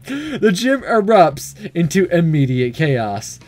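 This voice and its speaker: Someone narrating a visual novel, pretty animated, casual asides, music playing behind. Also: mid-range voice, takes it slowly. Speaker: let's player reading a visual novel aloud. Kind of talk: narrating and voicing a game with casual reactions. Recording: music under the voice. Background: game music.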